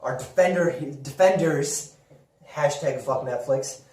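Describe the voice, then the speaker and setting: A man's voice: on the high side, unhurried, darkish tone. Echoey, distant man rambling casually. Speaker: podcast host. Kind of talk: casual chat about games and films. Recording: roomy echo, distant from microphone.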